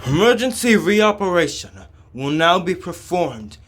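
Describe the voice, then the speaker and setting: Guy doing a calm surgeon from a game, talks real slow and measured, explains things carefully. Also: high voice, heavily acted. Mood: calm, resolute. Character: calm male surgeon devoted to protecting humans. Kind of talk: scripted game dialogue reading.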